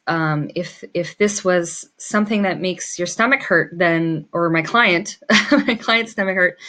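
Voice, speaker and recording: Female tutorial presenter, business owner type. Lower register, gravelly fry, informal think-aloud delivery. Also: a bit bright. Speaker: small business owner teaching pricing.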